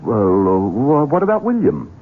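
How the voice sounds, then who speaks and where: A man's voice: on the low side, huge pitch swings, normal speed, heavily acted. A man playing a coldly controlling, scheming grown son in a 1970s radio drama, with an insinuating, commanding manner. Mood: probing, controlled. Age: 30s. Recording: muffled, noisy 1970s radio broadcast recording.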